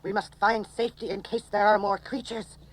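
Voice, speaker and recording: A woman's voice: mid-range voice, flat delivery, a little fast, natural voice. An actress playing a decisive woman leading an alien band, speaking firmly in a 1980s radio drama style. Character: decisive, brisk female leader of small alien band. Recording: noisy radio drama recording.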